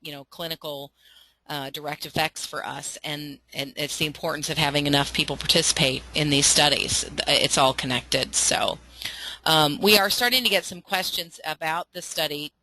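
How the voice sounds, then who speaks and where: A woman's voice: sits low, steady tone, normal speed, bright, forceful tone, no echo, poor audio. A woman moderating a webinar, earnestly relaying audience questions to a guest.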